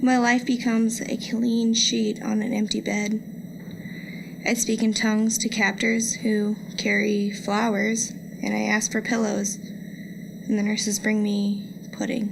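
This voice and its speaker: Even-toned woman with fry reading poetry. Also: mid-range voice, takes it slowly. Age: young adult. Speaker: poetry reader. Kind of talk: poetry reading aloud at a live event. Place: indoor public lecture event. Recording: noisy live room recording.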